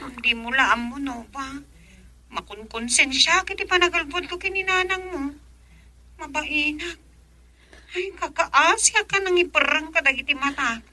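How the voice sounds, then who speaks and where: An actress with an Ilocano accent playing a gentle, apologetic young woman troubled by conscience in a radio drama. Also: high-pitched, measured pace, pauses often, heavily acted.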